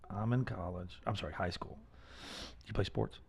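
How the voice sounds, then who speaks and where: A man hosting a casual live-stream interview, enthusiastic and loose, cursing now and then as he thinks out loud. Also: sits low, steady tone, moderate pace, gravelly, breathy edge. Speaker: host.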